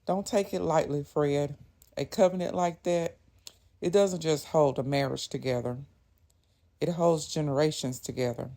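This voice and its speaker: A woman voicing an older male pastor who counsels slowly and gravely. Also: deep voice, warm tone. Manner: slow, weighty counsel.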